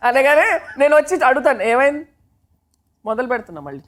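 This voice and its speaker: A woman's voice: high voice, big pitch swings, quick pace, very noisy. A woman talking casually in an interview, chatty and emphatic. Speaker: film director.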